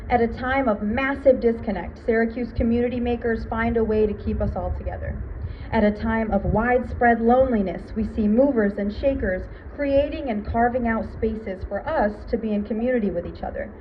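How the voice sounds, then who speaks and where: A woman hosting a public ceremony, giving an earnest, rousing prepared speech in measured phrases. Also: fairly high pitch. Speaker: event MC. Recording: muffled event recording with background noise.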